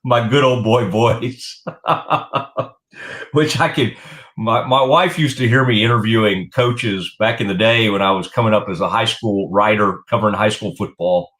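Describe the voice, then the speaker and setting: Low-voiced Texan sports show host. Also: male voice, steady tone, a little slow, fairly bright tone. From Texas. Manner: rambling, many fillers and restarts. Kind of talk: casual radio sports chat.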